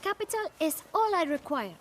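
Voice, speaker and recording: Game street kid bootblack boy, super high voice, swingy, politely wheedling, bit of noise. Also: big pitch swings, normal speed. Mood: eager, pleased. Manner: polite, wheedling, formal patter. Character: cheeky, enterprising young street bootblack boy. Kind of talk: video game cutscene acting.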